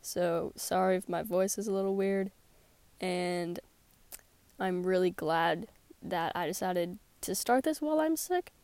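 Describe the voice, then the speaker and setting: A woman's voice: on the low side, unhurried, darkish tone, no echo. A teenage girl with a sore throat, chatting casually and sarcastically on her own podcast. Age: teens. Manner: sarcastic, rambling, laughs often. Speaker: podcast host. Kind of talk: casual solo podcast chat. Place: small closet.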